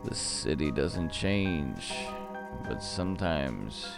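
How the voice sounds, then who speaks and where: Man playing a slow, gravelly, low-voiced noir detective. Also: sing-song, full character voice. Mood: brooding, weary. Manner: brooding, hardboiled, deliberate delivery. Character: world-weary male noir private detective, terse and cynical. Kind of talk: noir audio drama narration. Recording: music under the voice.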